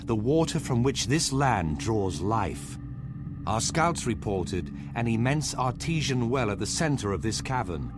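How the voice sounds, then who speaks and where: An actor playing a determined ally who leads a ritual to cleanse a cursed land, speaking earnestly in a game cutscene. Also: male voice, mid-range voice, a little slow, noisy background. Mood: resolute. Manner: earnest, resolute, steady. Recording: game audio over music.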